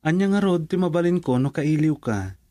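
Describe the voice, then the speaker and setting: A man's voice: a little high, expressive, moderate pace, warm tone, no echo, studio quality, close to an everyday voice. A man with an Ilocano accent playing an earnest, persistent young boyfriend in a romantic radio drama. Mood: affectionate, teasing.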